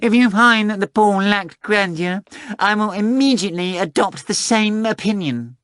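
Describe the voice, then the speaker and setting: A man's voice: high-pitched, normal speed, fairly bright tone, smooth, dry recording, studio quality, voice pushed up. A man voicing a boastful, theatrical talking tomcat with a pompous, mock-dignified manner. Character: boastful talking male cat, sly and theatrical.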